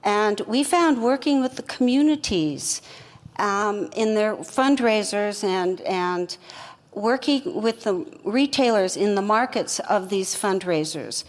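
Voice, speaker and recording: Woman, co-founder on a conference stage, earnest, steady, mid-range voice, long hall reverb. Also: medium pitch, slow. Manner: earnest, steady advice-giving. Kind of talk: conference fireside chat.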